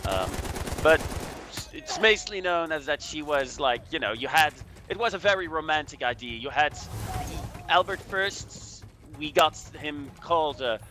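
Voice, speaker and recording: A Belgian man giving casual, halting history commentary over a video game, explaining things hesitantly. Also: on the high side. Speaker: guest commentator.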